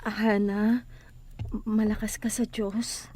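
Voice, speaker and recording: A despairing, soft-toned abandoned wife. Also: female voice, medium pitch, little pitch movement, slow, no room sound. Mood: doubtful, sad. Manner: plaintive, hesitant, emotional. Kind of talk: religious radio drama acting.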